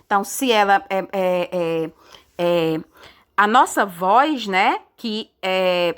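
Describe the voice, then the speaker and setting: A woman's voice: mid-range voice, a bit bright, smooth. A woman teaching a science lesson, explaining step by step and often checking in with her students. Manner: explains slowly with frequent checking tags and hesitations. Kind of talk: recorded online class lesson.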